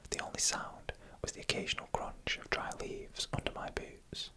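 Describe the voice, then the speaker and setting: A narrator reading eerie stories in a hushed ASMR whisper, with deliberate pauses between phrases.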